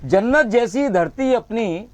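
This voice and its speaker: A man's voice: very high-pitched, expressive, slow. A man reciting patriotic verses at a poetry session, repeating each couplet and sometimes singing them in a melodic line. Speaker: poet.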